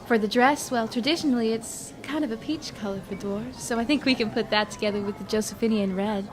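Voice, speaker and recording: Actress as a sweet chatty dwarf girl, highish voice, music behind, audio drama. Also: fairly quick, close to an everyday voice. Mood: excited planning. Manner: friendly, chatty, polite. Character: sweet, happy engaged young dwarf woman.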